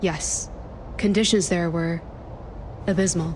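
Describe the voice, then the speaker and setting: A woman playing a composed, gracious aunt who leads refugees in a game cutscene, speaking in a measured, courteous way. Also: lowish voice, steady tone, a little mellow, a little hiss or hum, low-quality recording. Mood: somber. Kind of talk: scripted game cutscene dialogue.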